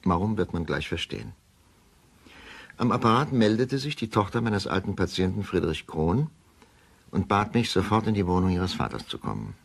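An actor playing a middle-aged doctor who gives a measured, formal account in a radio play. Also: male voice, deep voice, big pitch swings, creaky, husky, full character voice. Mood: sober, factual. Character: weary, measured middle-aged male doctor writing his confession. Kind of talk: German radio play monologue, formal report.

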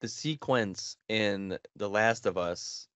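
A man in his thirties chatting casually as a podcast co-host, laid-back and halting, on slightly muffled call audio. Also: lowish voice, a bit bright, clean tone, no room sound. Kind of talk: casual chat about video games. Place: small home room. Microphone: headset, video call.

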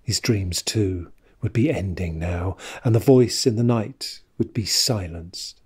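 A man narrating an audio drama in a measured, somber, literary storytelling style. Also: sits low, a little mellow, slightly rough.

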